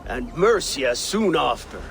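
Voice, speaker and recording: An actor playing a resolute, blunt Viking warlord and strategist in a video game cutscene, speaking with command. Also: male voice, mid-range voice, sing-song, takes it slowly, creaky, a bit bright, full character voice. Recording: constant outdoor ambient noise behind dialogue.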